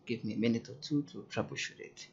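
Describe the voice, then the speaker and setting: Gravelly, husky man flying a simulator. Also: medium pitch, fast talker, darkish tone, dull audio. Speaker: host, virtual pilot. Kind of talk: flight simulator commentary and radio calls.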